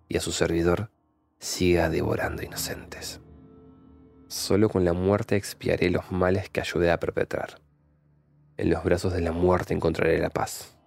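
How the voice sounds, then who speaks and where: A man's voice: low voice, vocal fry. A man playing a doomed, guilt-ridden man in a horror audio drama, giving his grave, solemn final confession. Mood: fatalistic. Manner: grave, solemn, literary phrasing.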